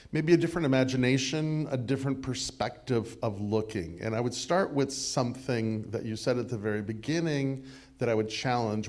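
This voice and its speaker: A man with a big voice giving a discursive, animated sermon response, full of fillers and restarts. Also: mid-range voice. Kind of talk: conversational sermon dialogue.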